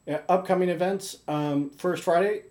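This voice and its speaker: A man's voice: high-pitched, steady tone, normal speed, darkish tone. A man co-hosting a podcast in casual chat, patiently explaining things while stumbling over and repeating his words. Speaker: podcast co-host. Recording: roomy echo.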